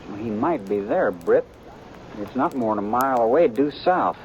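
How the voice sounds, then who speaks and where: An actor playing a modest, earnest young frontier rider, unsure of himself, in radio western acting. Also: male voice, medium pitch, expressive, normal speed, darkish tone, muffled recording, natural voice. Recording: hissy old radio broadcast.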